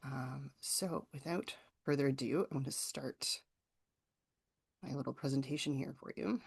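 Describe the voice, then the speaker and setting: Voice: mid-range voice, unhurried, vocal fry, breathy edge. A writing teacher from Ontario with a Canadian accent, giving an informal online workshop presentation in a conversational, explanatory way. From rural southwestern Ontario, Canada.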